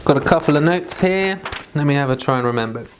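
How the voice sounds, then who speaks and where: A British man with a fairly high-pitched voice reads and teaches scripture. His delivery is informal and rambling, and he tends to interrupt himself as he explains. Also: animated, quick pace, noisy background. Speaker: Bible reader and teacher. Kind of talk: read-aloud scripture and informal commentary.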